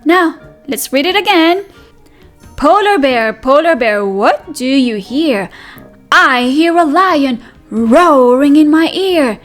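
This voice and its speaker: A woman's voice: high voice, expressive, slow pace, faint music behind. A woman reading aloud as a preschool teacher, addressing small children in a sing-song manner with questions and repetition. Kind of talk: interactive children's read-aloud lesson.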